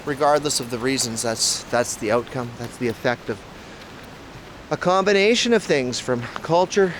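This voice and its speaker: A man from Canada rambles to his phone while outdoors, circling back and restarting his sentences as he thinks aloud. The recording carries a clear hiss along with the sounds of the street. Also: mid-range voice, normal speed, a bit bright. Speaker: vlogger. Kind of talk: casual outdoor talk to camera.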